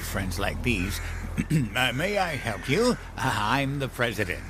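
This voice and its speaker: A man's voice: medium pitch, very animated, takes it slowly, noisy background. A man playing a naive, childlike US president in an audio comedy, hesitant, rambling and eager. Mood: flustered. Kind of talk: comedic audio drama acting.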